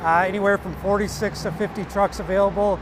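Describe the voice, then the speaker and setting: Male American interviewee, high voice, unscripted official talk, clear vehicle noise behind. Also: fairly even intonation, quick pace. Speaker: county road official interviewee.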